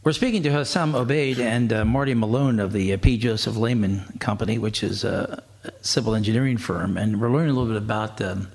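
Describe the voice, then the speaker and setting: A man hosting an interview, chatty and quick to laugh, asking his guests leading questions. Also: fairly low pitch, vocal fry, a little mellow. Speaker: radio interview host. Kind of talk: conversational interview.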